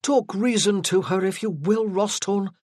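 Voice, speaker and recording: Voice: mid-range voice, a little slow, a little mellow, no room sound, studio quality, voice pushed up, lightly acted. An audiobook narrator voicing a weary, irritated young nobleman in a period novel. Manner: weary, peevish.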